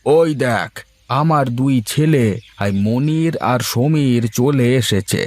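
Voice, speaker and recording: A man's voice: mid-range voice, takes it slowly, no room sound, close to an everyday voice. A man voicing a genial, middle-aged village father who is proud of his sons, hearty and eager, in a cartoon with music. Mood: eager, friendly. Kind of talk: cartoon story dialogue. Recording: music under the voice.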